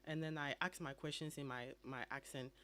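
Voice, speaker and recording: Ghanaian woman, measured legal explainer. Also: low-pitched, steady tone, fairly bright tone. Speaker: immigration attorney guest. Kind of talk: radio interview answers. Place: radio studio.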